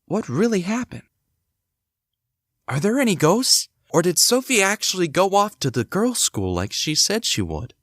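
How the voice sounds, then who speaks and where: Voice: medium pitch, dry recording. An actor playing a curious amateur sleuth in a hobby audio drama, speaking expressively. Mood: puzzled. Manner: expressive, swinging between musing and exclaiming. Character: curious amateur sleuth exploring an old house. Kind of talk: hobby audio drama acting, thinking aloud.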